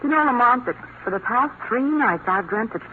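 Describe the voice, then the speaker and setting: An actress playing a spirited, earnest young heroine in a dramatic old-time radio thriller. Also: female voice, fairly high pitch, animated, brisk, natural voice. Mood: relieved, wry. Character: spirited, indignant young female companion of crime-fighter. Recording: tinny, noisy vintage radio recording.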